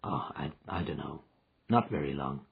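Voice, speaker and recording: A tired frontier man murmurs in a low, husky drawl, soft and patient with the little one beside him, as a male reader plays him. The voice sits deep and rough, and the recording is slightly dull and lo-fi. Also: moderate pace, full character voice. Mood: gentle. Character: weary frontier man, gentle with the child.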